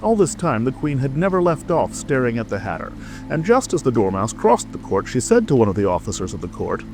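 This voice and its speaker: A man's voice: mid-range voice, very animated, a little fast. A man reading a scripted storybook narration as a dramatic audiobook narrator. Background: music.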